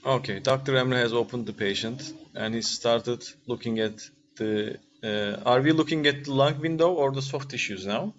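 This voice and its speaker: A man's voice: mid-range voice, moderate pace, few pauses. Turkish guy teaching a class online, casual, stops and asks stuff, dull recording w/ bit of noise. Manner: casual, hesitant phrasing, often checks understanding with questions. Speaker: radiology lecturer. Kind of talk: informal interactive lecture. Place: online video-call class.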